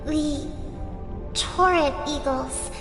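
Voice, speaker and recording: A wistful little sister speaks in a high, slow, childlike voice with soft earnestness. It is game dialogue drenched in long reverb. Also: female voice, exaggerated voice. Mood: wistful, innocent. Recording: long reverb with music underneath.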